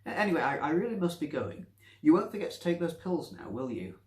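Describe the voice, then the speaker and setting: A man voicing a meek, awkward male doctor who speaks softly and hesitantly with stammers, in a story reading. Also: on the high side, sing-song, fast, roomy sound, pitched above the performer's own voice. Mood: awkward, polite. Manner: hesitant, stammering, soft-spoken. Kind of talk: story reading with character voices.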